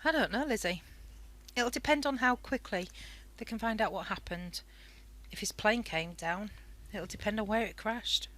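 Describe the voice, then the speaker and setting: A woman's voice: medium pitch, moderate pace, a bit bright, a little hiss or hum, lightly acted. A woman playing an anxious, wry mother with a British accent in a wartime radio play. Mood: sombre. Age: adult.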